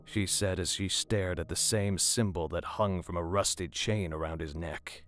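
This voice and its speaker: Deep voiced guy doing dramatic horror story narration, faint music behind him. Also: fairly even intonation, unhurried, a bit bright. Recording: music bed under the narration.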